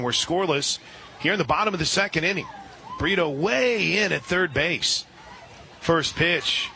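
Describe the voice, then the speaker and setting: A man calls a college softball game on the radio, giving counts, stats and sponsor reads in a steady, informative way. His voice sits a little high for a man. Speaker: radio play-by-play announcer. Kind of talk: radio play-by-play commentary.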